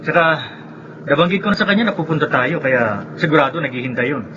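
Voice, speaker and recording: A man's voice: a little high, animated, fast, noisy background, close to an everyday voice. A man acting a concerned, easygoing friend in a radio drama. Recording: dull audio with music behind.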